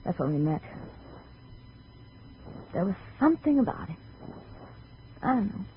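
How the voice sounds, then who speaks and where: An actress playing a troubled, guarded American femme fatale with melodramatic hesitations, in 1950s radio drama style. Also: female voice, medium pitch, very animated, a little slow. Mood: confiding. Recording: dull, faintly noisy vintage radio recording.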